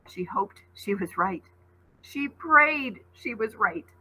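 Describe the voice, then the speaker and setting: Female teacher, dramatic read-aloud narration, medium pitch, bright and projected, slightly raspy. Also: lively intonation, takes it slowly, poor audio. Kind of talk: dramatic story narration with asides.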